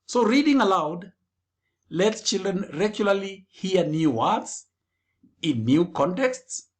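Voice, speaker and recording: A man presents scripted educational material at a slow, deliberate pace. His voice sits a little high for a man and rises and falls widely from phrase to phrase. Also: very animated.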